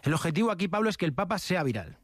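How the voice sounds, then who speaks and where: A radio contributor, a man with a slightly high voice, who rattles through his segment quickly and playfully. The studio sound is close and dry. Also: very animated, dry recording. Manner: brisk, playful.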